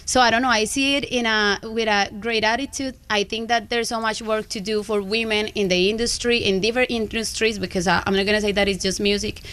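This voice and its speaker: A woman's voice: medium pitch, fairly even intonation, fairly quick, few pauses, a bit bright. A Colombian woman from Medellín, a native Spanish speaker, chatting as a guest in a radio interview with a strong accent, often searching for words. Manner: searching for words, many fillers and restarts. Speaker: guest singer.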